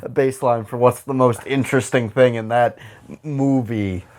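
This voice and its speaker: A man co-hosting a podcast in casual comedic banter, rambling and halting as he goes and often laughing. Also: mid-range voice, steady tone, darkish tone. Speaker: podcast co-host.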